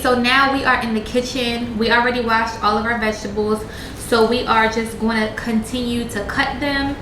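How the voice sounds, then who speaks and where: A young woman chatting casually with her audience while cooking in a home kitchen, animated and slangy. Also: fairly high pitch, noisy background. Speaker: vlogger. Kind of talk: casual chat. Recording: roomy kitchen with music.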